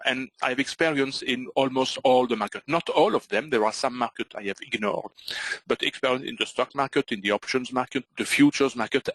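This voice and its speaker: A middle-aged Belgian man, not a native English speaker, guides a live online webinar. He halts often, filling gaps and restarting phrases. His voice sits at an ordinary pitch in a dry, close recording. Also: a bit bright, no room sound, low-quality recording. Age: middle-aged or older. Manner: hesitant, many fillers and restarts. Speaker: trading webinar presenter.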